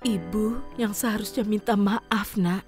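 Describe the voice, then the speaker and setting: A woman's voice: mid-range voice, fairly even intonation, a little slow, a little mellow, faint music behind, natural voice. A woman playing a tender, apologetic older mother. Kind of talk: audio drama acting.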